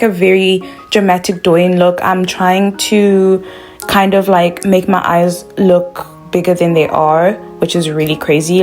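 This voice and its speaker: A woman's voice: medium pitch, a little mellow. Bubbly chatty young woman from South Africa. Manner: bubbly and chatty, lots of "like" fillers. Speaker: makeup vlogger. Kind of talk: casual makeup tutorial.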